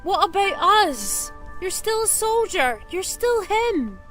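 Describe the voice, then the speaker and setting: Street-wise kid for audio drama, played by a woman: high pitch, very expressive swings, challenging and stubborn, faint music behind. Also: slow, full character voice. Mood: defiant, accusing. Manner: blunt, challenging. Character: defiant, insistent street-wise young girl.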